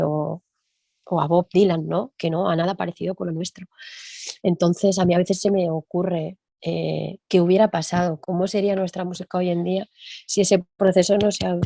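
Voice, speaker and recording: A woman music teacher talking in an interview, thinking aloud and often tagging her points with quick questions. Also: on the low side, dark tone, no echo. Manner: reflective, thinks aloud with frequent tag questions. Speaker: music teacher and percussionist guest. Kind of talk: conversational interview.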